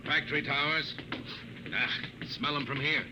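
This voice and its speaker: A man's voice: on the high side, steady tone, moderate pace, noisy background, close to an everyday voice. An actor playing a cynical spaceman narrator and loyal friend, blunt and sardonic in a 1950s radio drama. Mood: gruff. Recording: old radio broadcast over music.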